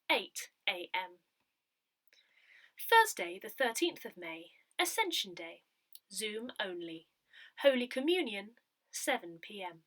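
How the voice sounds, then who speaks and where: A woman reading a scripted community newsletter aloud, with careful, clearly phrased delivery. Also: mid-range voice, sharp tone. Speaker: newsletter reader.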